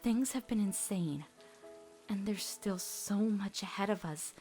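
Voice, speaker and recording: A woman voicing an earnest, awkward teenage girl in an emotional cartoon comic dub, heartfelt and halting when nervous. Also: medium pitch, mellow, soft background music, close to an everyday voice, rough recording.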